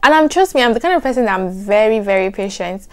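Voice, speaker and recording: A young adult woman with a soft, soothing voice, chatting to camera in an animated, emphatic way. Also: mid-range voice, fast talker. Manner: animated, emphatic, repeats "trust me". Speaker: vlogger. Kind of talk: casual chat to camera.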